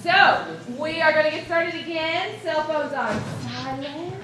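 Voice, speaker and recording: A woman running a library puppet show for children, animated and talking straight to the kids as she counts aloud. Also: high-pitched, measured pace, background noise. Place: large library room with children. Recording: echoey room from some distance, kids around.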